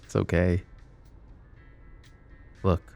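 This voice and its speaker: Mafia boss, played by a male actor: very low, dark, coaxing and possessive, for intimate ASMR roleplay. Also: flat delivery, soft background music, exaggerated voice. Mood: soft, conceding. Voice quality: low, gravelly murmur. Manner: deliberate, coaxing, philosophizing.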